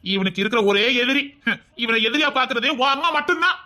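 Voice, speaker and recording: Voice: sits high, lively intonation, fast, pushed and bright, faint music behind. An actor voicing a sneering, accusing relative. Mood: scornful. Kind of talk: melodramatic serial acting.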